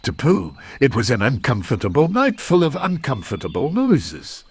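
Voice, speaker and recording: A man narrating a children's story from a script in an expressive storytelling manner. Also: mid-range voice, normal speed, husky, no echo.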